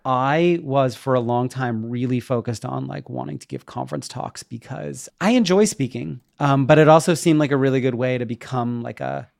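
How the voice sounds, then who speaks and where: A man's voice: medium pitch, normal speed, gravelly, few pauses, a little mellow, no room sound, clean studio sound. A middle-aged man talking casually and reflectively as a podcast guest, explaining things in an informal way. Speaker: interview guest, web developer and educator.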